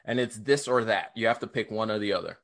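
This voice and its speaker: A young man hosting a podcast interview, talking in a casual, slangy, animated way and laughing often. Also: fairly low pitch, fast. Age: young adult. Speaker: podcast host.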